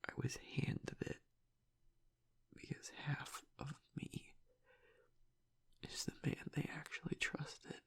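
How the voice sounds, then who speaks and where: A man playing a gruff young werewolf pack alpha in an intimate audio roleplay, halting and tearful, his voice breathy and gravelly as he grieves and doubts himself. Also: full character voice. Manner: halting, low-voiced, choked with emotion. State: tearful, on the edge of crying.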